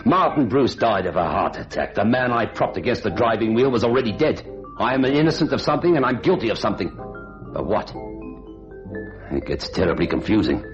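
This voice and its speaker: A cynical hotel clerk with a guilty secret, pitched low. He talks hardboiled and dismissive, in the manner of a vintage radio thriller. The recording is dull, with some music underneath. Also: big pitch swings, a little fast. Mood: brooding. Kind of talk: old-time radio thriller acting and narration.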